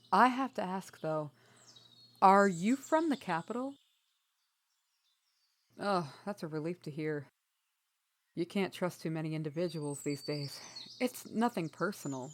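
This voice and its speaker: A woman's voice: lowish voice, lightly acted. A woman playing an earnest, diplomatic young rebel leader in a fantasy audio roleplay. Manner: polite and apologetic to strangers, exasperated with sister.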